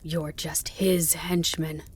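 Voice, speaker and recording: A woman's voice: low voice, level tone, takes it slowly, slightly rough, exaggerated voice. A woman voicing a wounded, exhausted old male fox in a storybook, speaking with desperate, bitter emotion. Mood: bitter contempt. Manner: imploring, lamenting, turning to bitter snarls.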